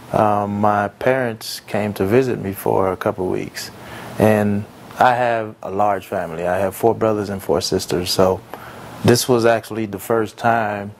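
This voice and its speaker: Young guy from haiti talking in an interview, earnest and thoughtful, lots of ums and pauses. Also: fairly low pitch, slight background noise. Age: young adult. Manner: earnest, reflective, with frequent pauses and fillers. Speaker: entrepreneur interviewee. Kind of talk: casual interview answers.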